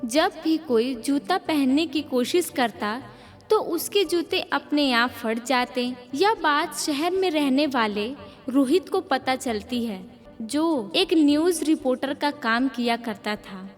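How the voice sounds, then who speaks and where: Female story narrator: high-pitched, slow scripted delivery, reverberant sound. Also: lively intonation, faint music behind.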